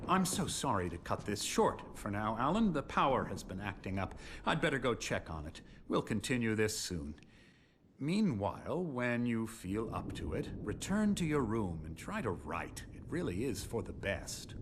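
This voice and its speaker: Smug, swooping villain psychiatrist. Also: male voice, on the low side, very animated, normal speed, background noise. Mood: falsely soothing. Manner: patronizing, coaxing, theatrical. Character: smug manipulative male psychiatrist, smooth condescending villain. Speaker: clinic doctor.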